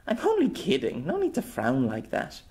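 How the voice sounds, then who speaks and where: A man voicing a playful, cheeky young woman in a storybook reading, with a high, cooing, wheedling manner. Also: huge pitch swings, moderate pace, dark tone. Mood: teasing.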